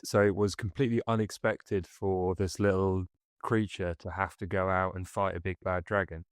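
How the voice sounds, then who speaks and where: A man hosting a casual podcast interview, chatty and encouraging toward his guest. His voice sits low and sounds smooth and clear. The recording is close and dry. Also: flat delivery, moderate pace, dry recording. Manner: enthusiastic, affirming, chatty. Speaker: podcast host.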